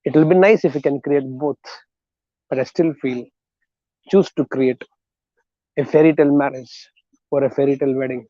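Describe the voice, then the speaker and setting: A man with an Indian accent giving a motivational talk in a deliberate, emphatic way, in short phrases with rhetorical questions. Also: mid-range voice, fairly even intonation, mellow, dry recording, lo-fi sound. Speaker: motivational speaker and counselor.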